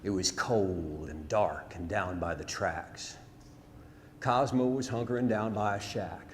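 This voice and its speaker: A man's voice: low voice, unhurried. Man reciting folksy verse in reverberant hall. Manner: dramatic, folksy, emphatic delivery. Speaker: storyteller performing narrative poem. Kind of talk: rhymed theatrical storytelling ballad recitation. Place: on stage in a theatre. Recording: hall reverb, noisy live theatre recording.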